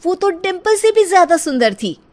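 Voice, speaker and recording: A woman narrating a cartoon story in a lively storytelling manner. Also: extremely high pitch, moderate pace.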